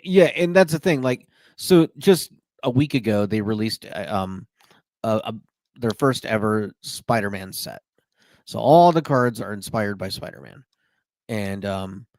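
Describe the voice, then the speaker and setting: A man's voice: lowish voice, darkish tone, no echo. Dry-sounding male podcast co-host. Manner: enthusiastic, explains card game rules. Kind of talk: casual chat.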